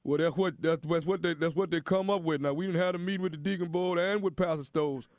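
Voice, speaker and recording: A man's voice: a little high, steady tone, fast, darkish tone, dry recording, natural voice. A man playing a bumbling, apologetic church deacon in a prank call, stammering and repeating his words in a folksy way. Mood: halting, officious.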